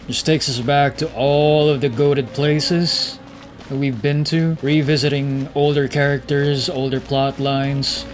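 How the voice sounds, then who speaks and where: A man's voice: mid-range voice, fairly even intonation, unhurried. A man streaming a video game, giving laid-back, slangy reaction commentary over game music.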